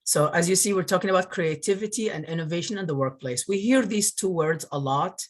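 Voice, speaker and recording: A man from northern Iraq giving a webinar over a video call, earnest and grateful, often pausing with fillers. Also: high-pitched. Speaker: webinar presenter, career coach. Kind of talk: presentation.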